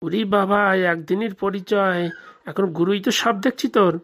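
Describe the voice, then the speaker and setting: A woman's voice: medium pitch, moderate pace, natural voice. A woman voicing a boastful but cowardly village boy who grumbles colloquially in a comic cartoon. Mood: indignant.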